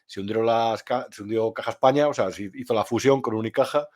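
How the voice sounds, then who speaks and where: A man's voice: mid-range voice, fast, a little mellow. A man from Spain, a journalist giving frank, opinionated answers in an interview, often hesitating and restarting. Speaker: journalist and novelist guest.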